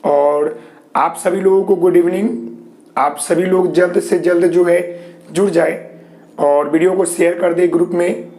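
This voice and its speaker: High-voiced Bihari man with a sore throat. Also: steady tone, unhurried, a little mellow, rough recording. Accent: Bihari Hindi. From Bihar, India. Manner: repetitive fillers, frequent "ji" and "okay"; reassuring, tells viewers not to worry. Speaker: teacher. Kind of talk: live online class lecture.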